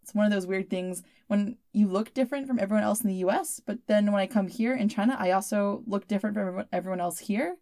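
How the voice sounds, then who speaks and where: A woman's voice: medium pitch, fast, few pauses, dark tone. Woman hosting a podcast. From United States. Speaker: podcast host. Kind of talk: podcast narration and chat.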